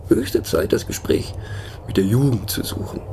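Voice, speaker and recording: An actor playing a middle-aged, pensive and mocking narrator in a radio play monologue, talking haltingly and wryly as if to a listener. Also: male voice, on the low side, mellow, a little hiss or hum. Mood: wry, musing. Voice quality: husky, gravelly. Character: musing, mocking male narrator pondering abstract words.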